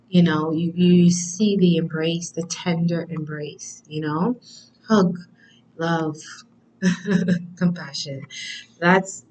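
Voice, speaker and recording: Woman, spiritual card reader, somewhat low pitch, soft and reassuring, trails off low at times. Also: a little hiss or hum, low-quality recording. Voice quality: voice sometimes drops low. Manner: gentle, affirming, with frequent ums and soft laughs. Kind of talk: spiritual card reading talk.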